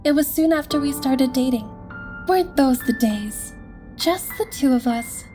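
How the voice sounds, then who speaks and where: A woman playing a gentle, coaxing girlfriend who is eerily not quite real, in an audio drama. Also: sits high, lively intonation, measured pace. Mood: fondly nostalgic. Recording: music under the voice. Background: background music.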